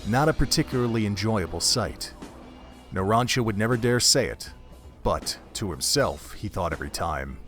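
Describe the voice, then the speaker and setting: Male story narrator, fairly low voice, measured and literary, music bed. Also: expressive, fairly bright tone. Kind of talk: scripted story narration for an audio drama. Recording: background music under the voice.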